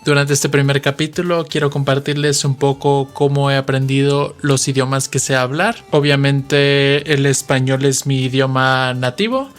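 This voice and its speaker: A man's voice: mid-range voice, a bit bright. A man in his twenties from western Mexico with a Mexican Spanish accent, hosting a podcast and patiently explaining things with examples. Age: 20s. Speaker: podcast host, Spanish teacher. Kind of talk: slow explanatory podcast monologue.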